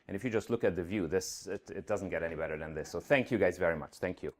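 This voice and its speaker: A man's voice: deep voice, quick pace, very noisy. A middle-aged man from Hungary with a slight Hungarian accent, giving an earnest conference talk with frequent fillers. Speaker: conference speaker. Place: conference venue stage.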